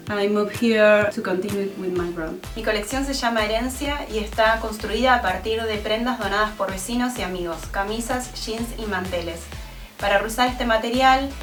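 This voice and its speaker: A woman clothes designer from Argentina, speaking in a measured, deliberate way. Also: medium pitch, reverberant, distant mic.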